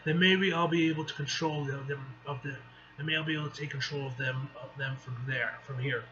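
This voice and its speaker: A man voicing a grimly determined comic book hero bonded to an alien symbiote, low-key and halting. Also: steady tone, lightly acted. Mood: grim resolve. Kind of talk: amateur comic book read-aloud acting. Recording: dull lo-fi mic with faint noise.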